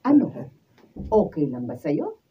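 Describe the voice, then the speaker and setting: An actress with a Filipino accent playing a wealthy, generous philanthropist in a radio drama, gracious and businesslike. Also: female voice, sits low, huge pitch swings, slow, slightly rough, far from the mic, lo-fi sound, heavily acted. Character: wealthy, generous female philanthropist offering work.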